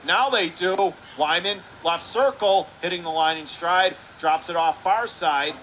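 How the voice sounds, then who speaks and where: A man calling live hockey play-by-play on radio, his calls climbing into shouts when the play heats up. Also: sits high. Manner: rapid calls rising to shouts on big plays. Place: hockey arena broadcast booth. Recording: noisy arena background.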